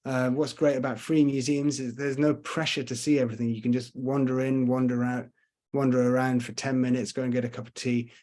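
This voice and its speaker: A man's voice: mid-range voice, flat delivery, brisk, a little mellow. A man with a London accent and a slight Scottish trace, giving a casual online tour lecture and answering questions, hesitant and full of fillers, on a computer mic. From Scotland and London. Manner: chatty, hesitant with many fillers. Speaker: tour guide. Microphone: computer mic on video call.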